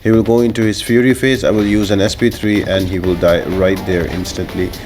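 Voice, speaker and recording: A man with a lowish voice talks through a video game guide. He explains things in an instructional, step-by-step way, as a creator guiding players. Music plays softly behind his commentary. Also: fairly even intonation, fairly quick.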